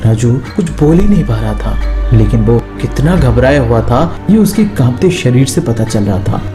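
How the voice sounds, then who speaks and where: Male narrator for scripted horror tales, mid-range pitch, with prominent background music. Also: big pitch swings, normal speed. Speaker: storyteller, narrator. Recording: music behind the voice.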